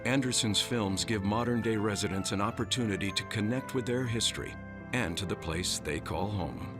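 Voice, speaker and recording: Low-pitched male documentary narrator, music behind. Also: moderate pace. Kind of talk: scripted narration.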